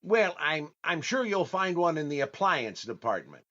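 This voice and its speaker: A man's voice: fairly high pitch, very animated, normal speed, pushed and bright, highs cut off. An actor playing a genial, easily puzzled man out shopping in a radio comedy, hesitating and repeating his words. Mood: puzzled, helpful. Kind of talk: old-time radio comedy acting.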